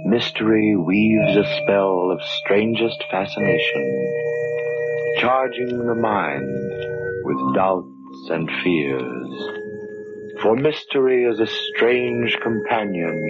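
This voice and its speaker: An actor playing a solemn, brooding narrator of a 1940s radio mystery, with a portentous, drawn-out delivery. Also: male voice, lowish voice, huge pitch swings, exaggerated voice. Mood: ominous. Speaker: announcer. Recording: dull old radio, music behind.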